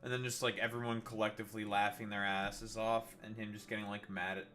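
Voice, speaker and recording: A man's voice: on the low side, level tone, fairly quick, a bit bright, faint music behind. Profane rambling male game streamer. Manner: profane, rambling, self-interrupting. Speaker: gaming streamer. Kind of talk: casual gameplay commentary.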